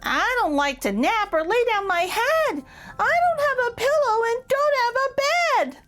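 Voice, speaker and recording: A jittery young boy shark from a picture book, played by a woman, his voice pitched up high and fretful. He talks in eager rhymes, slowly and clearly, with wide leaps in pitch. Soft music plays faintly behind. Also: expressive, full character voice. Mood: worried. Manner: worried, eager, rhyming lines.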